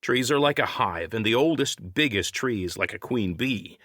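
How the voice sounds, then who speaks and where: A man's voice: fairly low pitch, animated, moderate pace, a bit bright, no room sound, clean studio sound. A man voicing a wry, knowledgeable crewmate who explains things in an audiobook story. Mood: explaining.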